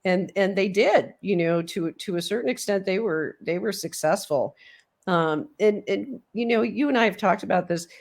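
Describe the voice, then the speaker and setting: A woman hosting a casual sports chat, talking through the game in a measured, analytical way. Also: medium pitch. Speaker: host.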